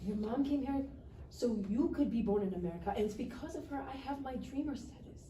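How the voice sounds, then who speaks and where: Woman playing very mellow, gentle family peacemaker. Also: on the high side, expressive, fairly quick, natural voice. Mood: earnest reproach. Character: gentle, reasoning immigrant relative, working waitress. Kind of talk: live script table read. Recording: roomy distant mic, faint noise.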